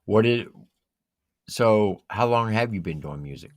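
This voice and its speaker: A woman's voice: extremely low pitch, a little slow, no room sound. A woman hosting a casual live interview, asking questions with a playful, teasing manner. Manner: playful, teasing, lively reactions. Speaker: show host, interviewer.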